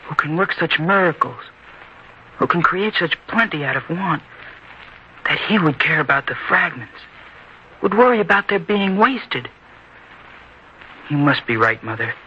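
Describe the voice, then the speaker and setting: An actor playing an earnest, stubborn young son in an old-time radio drama, speaking in an eager, theatrical way. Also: male voice, on the high side. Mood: humbled. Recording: dull, noisy old-time radio recording.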